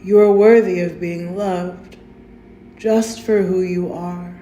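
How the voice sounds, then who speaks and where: Slow, soothing woman guiding a meditation. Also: on the low side, steady tone, slight background noise. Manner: gentle, soothing and unhurried, with soft invitations. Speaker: meditation guide. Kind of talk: guided meditation. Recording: roomy echo with faint music.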